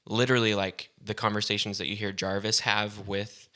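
Low, bright-toned man explaining tech. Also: a little fast. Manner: relaxed explainer, hedging with "like" and "um". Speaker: podcast co-host. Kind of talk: casual tech chat.

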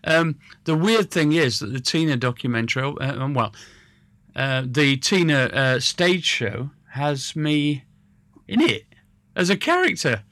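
A man interviewing a guest in a relaxed, rambling way, full of hesitations and restarts. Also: a little high, a little slow, no echo. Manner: rambling, hesitant, self-correcting. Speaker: interviewer and musician. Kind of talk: casual conversational interview.

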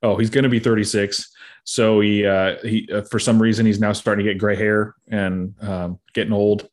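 A man in his thirties co-hosts a loose, casual podcast. His voice sits a little low. He rambles through plenty of ums and uhs and laughs easily. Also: flat delivery, normal speed. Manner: rambling, laughs often, many fillers. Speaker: podcast co-host.

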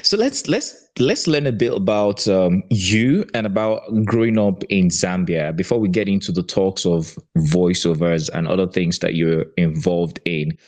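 A man hosting a podcast interview, deliberate and curious in a conversational style. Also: low-pitched, darkish tone.

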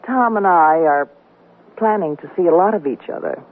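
A woman's voice: lowish voice, expressive, normal speed. Frank woman, tinny old radio. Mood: confiding, pleased. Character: frank, self-assured woman in love. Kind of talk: 1970s radio comedy-drama acting. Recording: tinny narrow-band radio recording.

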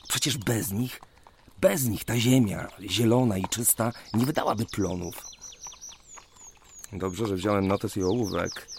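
A man's voice: lowish voice, a little hiss or hum. A man playing a dreamy, sentimental surveyor of the 19th century in a radio play, musing aloud in a rapturous, poetic way. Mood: earnest, troubled. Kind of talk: theatrical radio play monologue.

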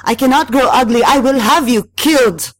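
A woman's voice: low voice, a little slow, husky, dry recording. A woman voicing a vain, cruel madame in a storybook, imperious and desperate, with a haughty, commanding, dramatic delivery. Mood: furious.

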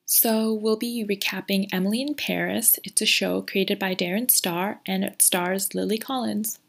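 A young woman co-hosting a casual TV recap, chatty and quick to laugh. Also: mid-range voice, steady tone, vocal fry. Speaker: co-host. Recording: roomy echo.

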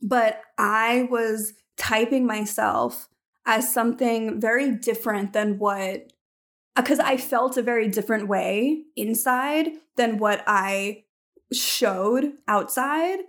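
A woman's voice: fairly high pitch, takes it slowly, a bit bright. A woman in her thirties talking casually about acting, rambling and filling her sentences with "like". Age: 30s. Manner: rambling, heavy use of "like". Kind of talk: casual chat.

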